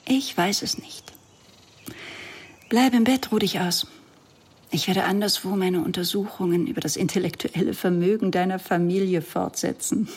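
An actress playing a self-assured, critical middle-aged woman in a radio drama, controlled and superior, with a breathy, slightly husky voice. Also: fairly low pitch, normal speed. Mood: exasperated.

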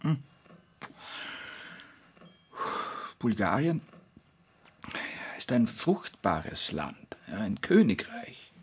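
An actor in a German radio play, playing a brooding, resigned man with a husky, breathy voice that lapses into half-whisper, musing haltingly. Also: medium pitch, wildly swooping pitch, exaggerated voice.

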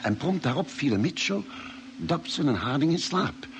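A man's voice: a little high, very animated, normal speed. A man acting an earnest, inquiring ship's doctor in a vintage Dutch radio play, on a noisy old recording. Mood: earnest, attentive.

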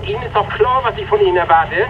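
A ruthless shipowner in a radio drama, ordering his captain about with quiet menace. His voice sits high and comes from a distance, through a dull, noisy radio link. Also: quick pace. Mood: menacing. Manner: commanding, menacing. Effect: radio transmission filter.

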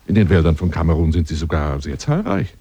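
An actor playing a lonely, self-dramatizing hunter in a radio drama monologue, wooing a woman in a courtly, theatrical, husky voice that drifts into whispers. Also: very deep voice, big pitch swings, a little fast, mellow, a little hiss or hum, full character voice. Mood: boastful, grand. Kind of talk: radio drama monologue as one side of a phone call.

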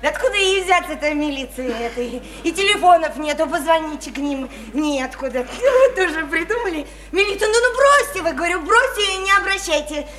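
A woman's voice: extremely high pitch, expressive, a little fast, few pauses. An actress in a Russian radio play playing a naive, chatty, insistent provincial woman, eager and coaxing, with colloquial, repetitive talk. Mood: dismissive, soothing. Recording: roomy, slightly noisy radio play recording.

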